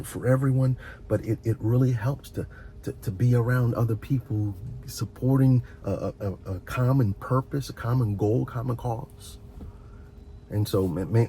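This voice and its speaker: A man with a dark, fry-laced voice speaks over a faint low rumble. He talks off the cuff like a video host giving advice, addressing the listener directly and earnestly. His delivery is halting, with repeated words and fresh starts. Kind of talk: rambling, unscripted advice talk.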